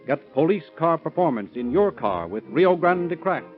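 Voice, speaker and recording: A man's voice: on the high side, big pitch swings, moderate pace, soft background music. A man reading scripted sponsor copy as a 1930s radio announcer, formal and declamatory, on a tinny old recording.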